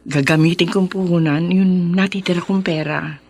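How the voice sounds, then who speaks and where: A woman's voice: on the low side, steady tone, moderate pace, darkish tone. A woman with a Filipino accent playing a humble, devout middle-aged housemaid mother in a radio drama. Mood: consoling, hopeful.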